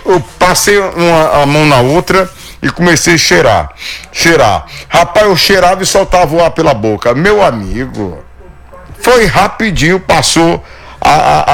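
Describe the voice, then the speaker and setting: A man hosting a live show, pitching a product in a folksy, chatty, sing-song patter. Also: a bit bright. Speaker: show host.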